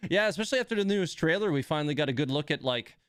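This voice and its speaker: A man's voice: on the high side, expressive, very fast, a bit bright, clear, resonant tone, no echo, clean studio sound. A man hosting a game livestream, chatting casually and informally to his viewers in a rambling way.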